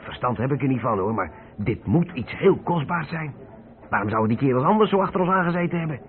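A man's voice: mid-range voice, expressive, quick pace, natural voice. A man acting a cautious, fatherly older lorry driver in a Dutch radio play. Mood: urgent, guarded. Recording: tinny, band-limited old radio recording.

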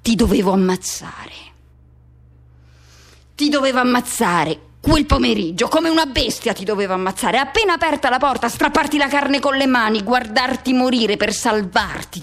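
Woman acting an anguished, high-voiced haunted lover. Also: natural voice. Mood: bitter, anguished. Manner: intense, halting, short broken phrases with repetition. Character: anguished person haunted by a lost youthful love. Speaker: actress performing a monologue. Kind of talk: dramatic radio theatre monologue.

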